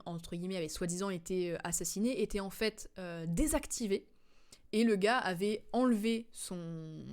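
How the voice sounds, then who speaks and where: A young adult woman giving casual, rambling game commentary as a streamer, in informal, slangy speech. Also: mid-range voice.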